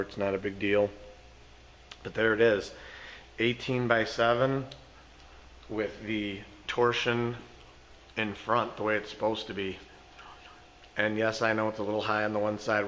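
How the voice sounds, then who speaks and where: A hoarse man giving a casual, folksy walkthrough of his garage door repair work. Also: fairly low pitch, fairly bright tone, slight background noise, poor audio. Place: underground parking garage. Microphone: handheld phone camera.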